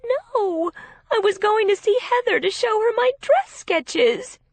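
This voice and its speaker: A naive young bride-to-be, acted by a woman narrator, speaks high and tearfully. She pleads and defends herself with stubborn emotion, in a close, dry storybook recording. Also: animated, slow, no room sound, exaggerated voice. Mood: panicked, defensive.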